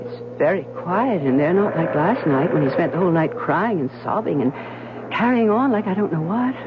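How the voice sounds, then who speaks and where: An actress playing a shrewd, sharp-eyed gentlewoman wife in a radio drama, speaking in a knowing, firm, persuasive way. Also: fairly low pitch, expressive, moderate pace, close to an everyday voice. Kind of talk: 1970s radio drama acting. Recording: muffled, hissy old radio broadcast.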